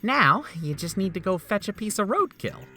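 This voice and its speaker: A man's voice: moderate pace, heavily acted. A man voicing a sarcastic, blunt male doctor in a fan dub of a game. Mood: excited. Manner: sardonic and blunt, lively swings in pitch. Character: sarcastic, scheming male memory-doctor, middle-aged. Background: faint music.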